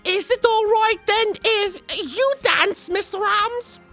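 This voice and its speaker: A tiny, extremely high-pitched fantasy villager. The voice is timid and polite, slow and stammering. Music plays underneath. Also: female voice, fairly bright tone, heavily acted. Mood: nervous, pleading. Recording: music behind the voice.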